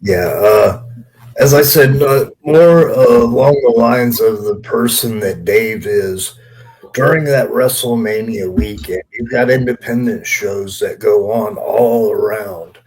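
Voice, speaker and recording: A man's voice: fairly low pitch, takes it slowly, darkish tone, rough recording. A man chatting casually as a podcast panelist, colloquial and given to swearing. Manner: profane, colloquial.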